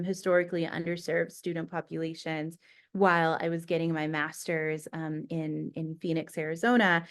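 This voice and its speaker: A woman's voice: lowish voice, smooth. A woman in her thirties from Bangladesh, a school inclusion director, introducing herself earnestly as a podcast guest with many fillers. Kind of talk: casual self-introduction.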